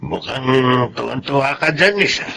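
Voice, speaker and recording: A man with a Filipino accent playing a stern, protective old grandfather in a radio drama, with a gravelly voice. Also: medium pitch, expressive, normal speed, noisy background. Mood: suspicious. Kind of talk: Tagalog radio drama acting.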